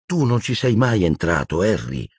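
A man's voice: fairly low pitch, big pitch swings, moderate pace, breathy edge, no room sound, clean studio sound, pitched above the performer's own voice, full character voice. A man voicing an anxious, earnest teenage girl in an audiobook, timid but stubborn, with hesitant, pleading delivery. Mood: desperate.

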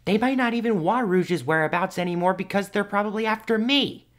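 A man's voice: high voice, brisk, fairly bright tone. A man voicing an anxious, persistent young hedgehog hero in a fan audio drama, earnest and pleading. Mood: insistent.

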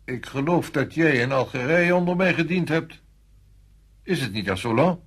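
An actor playing an authoritative French general in a Dutch radio play, speaking in a measured, deliberate, commanding way. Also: male voice, a little high, expressive, fairly bright tone, slightly rough. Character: authoritative male French general briefing a subordinate.

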